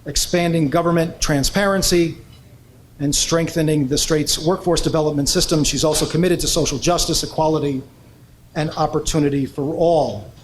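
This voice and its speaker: A man presents honorees at an awards event. He reads formal biographies in a slightly high voice and fills his pauses with "uh". Faint room noise sits under the speech. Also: fairly even intonation. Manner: reads prepared bios with frequent "uh" fillers.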